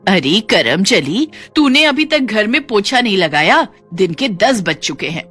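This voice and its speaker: A woman voicing a cruel, scolding old mother-in-law with drawn-out, taunting exclamations in a cartoon story. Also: medium pitch, big pitch swings, fairly bright tone, husky, soft background music, heavily acted. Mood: scolding, scornful.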